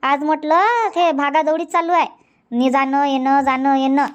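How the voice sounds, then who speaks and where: High-voiced, chatty village auntie played by a woman, Varhadi accent. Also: fairly even intonation, unhurried. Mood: chatty, bustling. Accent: Varhadi Marathi from Vidarbha. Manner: rambling, folksy, repetitive. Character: busy, chatty village auntie in a comedy cartoon. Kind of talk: cartoon comedy dubbing.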